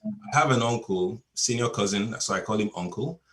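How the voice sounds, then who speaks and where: A man's voice: fairly low pitch, moderate pace, rough recording. Nigerian man coaching on a video call. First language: Yoruba. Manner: storytelling, relaxed, chuckles between points. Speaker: coach and speaker. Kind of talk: webinar talk to a video call.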